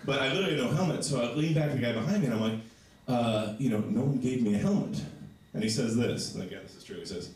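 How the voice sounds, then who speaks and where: A man with a low voice doing a stand-up comedy set in a small comedy club, telling stories with lively, animated delivery. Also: quick pace. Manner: animated storytelling, voices for others. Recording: echoey club sound from a distant mic.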